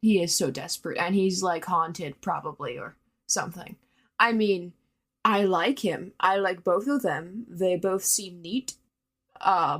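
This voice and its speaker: A woman's voice: lowish voice, fairly bright tone. A woman leaving a casual, rambling voice message as a listener, hesitant but enthusiastic.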